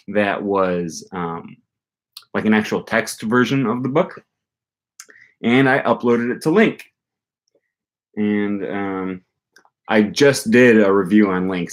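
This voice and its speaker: A young man talking casually on a live stream from a small room, rambling with many ums and restarts. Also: lowish voice. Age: young adult. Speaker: language learning streamer. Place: small room with bookshelf, indoors. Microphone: webcam at desk.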